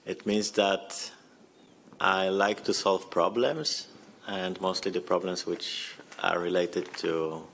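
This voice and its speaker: Slow, gravelly Polish man. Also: low voice, fairly bright tone, slight background noise. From Poland. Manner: hesitant, many fillers. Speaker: scientist and entrepreneur panelist. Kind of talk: panel self-introduction. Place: conference room panel table. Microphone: table mic on stand.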